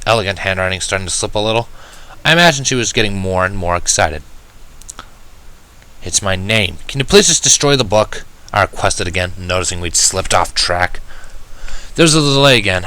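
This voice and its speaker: A man narrating a first-person fan fiction story in an expressive, wry, dramatic manner. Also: deep voice, brisk, smooth, poor audio. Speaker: audiobook narrator.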